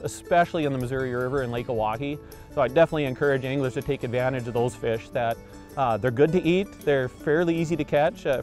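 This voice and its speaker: A man's voice: mid-range voice, darkish tone. A man in his forties, a fisheries biologist, answering interview questions outdoors by a river in an earnest, explanatory way, with frequent fillers and restarts.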